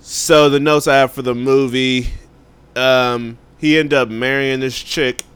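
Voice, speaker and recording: A man's voice: mid-range voice, steady tone, unhurried, fairly bright tone, smooth. A man co-hosting a movie podcast, talking in a loose, slangy, rambling way. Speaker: podcast co-host. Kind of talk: casual movie chat.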